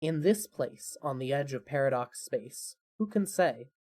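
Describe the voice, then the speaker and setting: A narrator reading a scripted fan fiction podcast in an expressive, measured storytelling manner. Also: mid-range voice.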